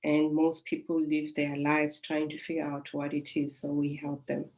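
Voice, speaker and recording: South African woman, middle-aged, low and gravelly, flat even delivery, soothing and reflective. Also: low-quality recording. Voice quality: calm, soothing voice. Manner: reflective, unhurried, with soft laughs. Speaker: life coach. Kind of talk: personal storytelling.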